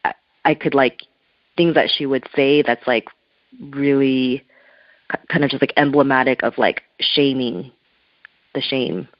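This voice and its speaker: A native Korean-speaking woman talks slowly and thoughtfully, feeling her way through each idea. Her voice is dry and close, with no room sound. It comes through a muffled telephone line. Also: a bass voice, dry recording. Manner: searching, thoughtful, loose phrasing. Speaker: interview guest, spiritual artist. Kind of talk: reflective telephone interview talk.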